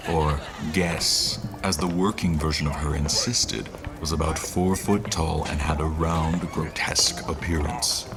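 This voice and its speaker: A man narrating a fantasy audio drama as a reflective historian, in a literary, deliberate, measured way. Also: extremely low pitch. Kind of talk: scripted first-person story narration.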